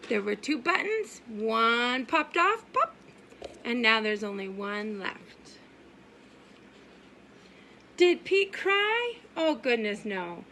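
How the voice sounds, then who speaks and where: A woman reads aloud at a children's story time in a high, bright voice. She goes slowly, with sing-song exaggeration and questions to her young listeners. There is faint noise behind her. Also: sing-song. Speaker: preschool teacher reading aloud.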